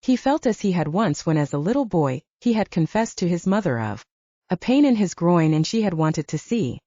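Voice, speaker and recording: A woman's voice: lowish voice, big pitch swings, moderate pace, warm tone, dry recording, dull audio. A woman reading literary fiction as a scripted audiobook narration, moving between story and dialogue.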